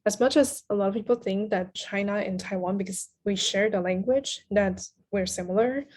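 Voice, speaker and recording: A woman's voice: medium pitch, darkish tone. A woman guest on a podcast, discussing literature casually in a thoughtful, agreeable manner.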